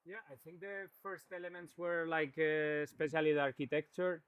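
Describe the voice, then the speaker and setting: Male artist guest, smooth flat delivery, halting and searching for words. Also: on the high side, dry recording, phone-quality sound. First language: Spanish. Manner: hesitant, searching for words, many fillers. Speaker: painter, interview guest. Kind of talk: conversational art talk.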